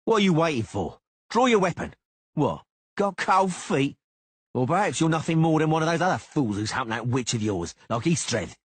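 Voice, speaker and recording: A man voicing a brash, hotheaded young male duelist who jeers and shouts his challenges, with a husky voice. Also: mid-range voice, very animated, normal speed, no room sound, pitched above the performer's own voice. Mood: shouting. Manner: loud, jeering, shouting challenges.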